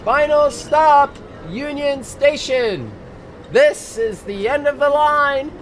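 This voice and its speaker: Actress as trolley driver, woman, extremely high voice, slow drawn-out announcing, faint vehicle rumble, radio play. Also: expressive. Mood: sing-out announcing. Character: trolley driver calling out the last stop. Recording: faint vehicle noise behind.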